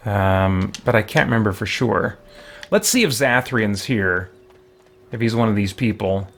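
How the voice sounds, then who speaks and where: A man's voice: on the low side, animated, measured pace, a bit bright, slight background noise. Man casually streaming a game, thinking aloud. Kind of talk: casual live-stream commentary.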